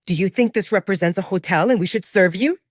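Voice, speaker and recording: A woman's voice: medium pitch, fast talker, no room sound, studio quality, lightly acted. A woman voicing a grumpy, impatient alpha male werewolf who scolds and demands answers in an audio drama. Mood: grumpy, scolding.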